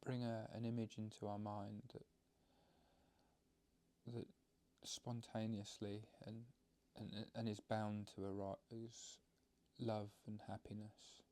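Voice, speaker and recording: Slow, low, creaky-voiced man guiding meditation. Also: flat delivery. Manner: halting, unscripted, gentle explaining. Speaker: meditation teacher. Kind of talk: guided meditation instruction.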